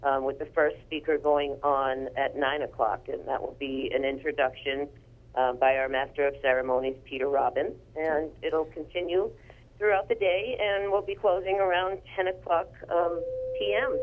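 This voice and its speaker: Woman interview guest on a phone line. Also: sits low. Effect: telephone line. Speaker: interview guest, conference organizer. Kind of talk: casual informative interview talk. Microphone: telephone.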